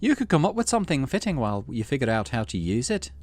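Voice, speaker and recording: A man's voice: mid-range voice, sing-song, fast talker, darkish tone, pitched above the performer's own voice, close to an everyday voice. A man puts on an eager, cheery aunt from a story game. The voice is raised well above a man's usual register and lilts up and down with enthusiasm. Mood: cheerful, eager. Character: enthusiastic, cheerful aunt who loves cooking.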